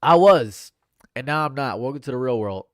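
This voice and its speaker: Young man, stuffed-up nose, deadpan stream chat, mid pitch, dry close sound. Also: normal speed, dry recording. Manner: dry and deadpan, laughs at own jokes. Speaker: livestream host. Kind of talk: casual chat reading viewer comments. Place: home room.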